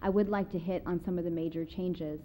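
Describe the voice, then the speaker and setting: Soft-toned woman presenting at a meeting. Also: lowish voice, steady tone, a little fast, a little hiss or hum. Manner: careful, explanatory, frequent "um" fillers. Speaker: city planning staff presenter. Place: council chamber, seated at a table.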